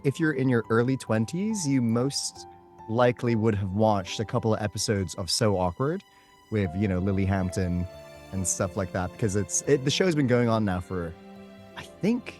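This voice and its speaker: A man's voice: on the low side, big pitch swings, normal speed, darkish tone, faint music behind. A man chatting casually as an interview guest, effusive and enthusiastic in how he talks. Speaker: guest, voice and screen actor. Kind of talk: casual chat and anecdote.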